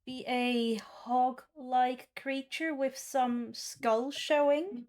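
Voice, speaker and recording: Woman, high voice, slow delivery, game master, improvised fantasy narration, deliberate pauses. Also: fairly even intonation, darkish tone. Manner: deliberate, pausing between phrases.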